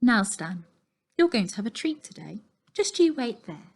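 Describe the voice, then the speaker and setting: A firm storybook aunt, acted by a woman reading aloud. She is bossy and brisk, with a commanding way of talking. There is kindness beneath her no-nonsense orders. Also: a little high, sing-song, creaky, slightly rough, exaggerated voice. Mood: kindly brisk.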